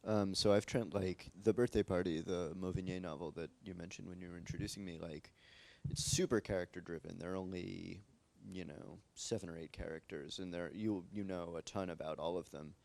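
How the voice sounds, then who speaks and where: A man with an American accent and a deep, low-set voice talks through his work at a panel in a library. He rambles easily and fills his sentences with "like" and "um". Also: fairly quick, creaky, few pauses. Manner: relaxed, rambling, many fillers and "like". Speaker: translator on a panel. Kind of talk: casual panel discussion. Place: library event space with live audience.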